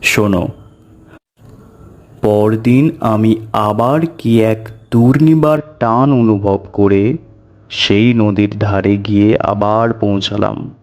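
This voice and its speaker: A man narrating a scripted horror story in the first person, in a measured, deliberate way. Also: lowish voice, fairly even intonation, darkish tone. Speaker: storyteller narrator voicing a tantric recounting his past. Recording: music bed under the voice. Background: music.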